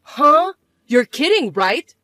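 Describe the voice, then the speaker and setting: A woman's voice: high voice, very animated, moderate pace, fairly bright tone, dry recording. A woman voicing a bossy, scornful older mother-in-law who scolds and accuses in an indignant, whiny way. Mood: shocked. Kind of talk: dramatized story voice acting.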